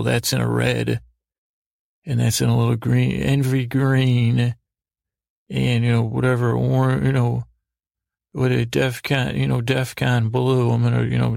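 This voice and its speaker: Gravelly, creaky man's meandering bedtime ramble. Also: medium pitch, darkish tone, dry recording, clean studio sound. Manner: halting, meandering, repeats and trails off mid-thought. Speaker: host of a bedtime-story sleep podcast. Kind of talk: rambling, soothing bedtime monologue.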